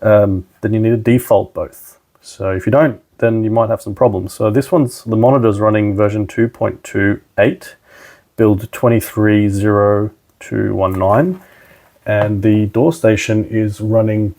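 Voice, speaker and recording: A man's voice: fairly low pitch, steady tone, measured pace, warm tone. A man presenting a hands-on product tutorial, talking informally and instructing step by step as a trainer. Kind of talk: casual hands-on tutorial narration.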